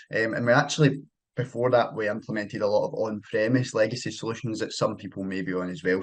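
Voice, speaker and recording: A man with a Scottish accent, an account manager giving a webinar presentation in a loose, conversational way with many fillers and restarts. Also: on the low side. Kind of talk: casual presentation.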